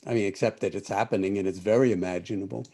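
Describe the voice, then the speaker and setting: An older American man with a low, mellow voice talks over a video call. He guides an online meditation gathering, musing aloud and often restarting his phrases mid-thought. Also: fairly even intonation, fast, husky. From United States. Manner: thoughtful, rambling with self-corrections. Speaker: meditation teacher. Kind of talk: live-streamed talk to an online group.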